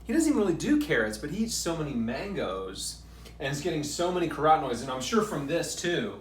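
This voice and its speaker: A man's voice: on the high side, sing-song, fairly quick. An American man hosting a casual fruit tasting on camera, talking informally and with enthusiasm. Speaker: host. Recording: echoey room, distant mic, faint noise.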